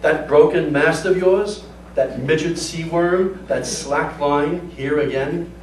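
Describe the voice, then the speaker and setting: A man reading a story, voicing a sharp-tongued, scornful woman who is biting and dismissive toward her returned sailor. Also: fairly high pitch, slow, pitched above the performer's own voice. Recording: roomy, distant mic, faint noise.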